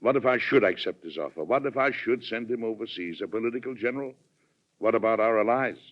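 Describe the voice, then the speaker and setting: An actor playing a thoughtful, cautious, courteous president with a measured, formal delivery in 1940s radio drama style. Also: male voice, lowish voice, animated. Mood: worried, grave. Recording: muffled 1940s radio broadcast recording.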